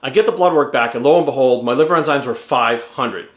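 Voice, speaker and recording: Fast-talking enthusiastic man in his forties. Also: mid-range voice, echoey room. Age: 40s. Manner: energetic, enthusiastic, rambling. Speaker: patient giving a testimonial. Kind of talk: casual testimonial to camera.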